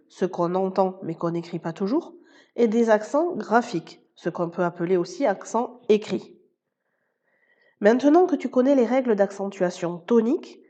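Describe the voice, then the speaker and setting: A woman hosting a language-learning podcast, explaining pronunciation and vocabulary clearly and speaking straight to the listener. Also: medium pitch, warm tone, dull audio. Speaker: Spanish teacher and podcast host. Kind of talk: scripted podcast lesson.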